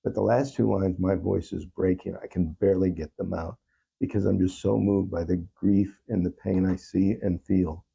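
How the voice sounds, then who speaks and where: A man speaking as an interview guest, telling personal stories in a reflective, halting and earnest way. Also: low voice, fairly even intonation, vocal fry, mellow, slightly rough, rough recording. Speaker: talk show guest, songwriter.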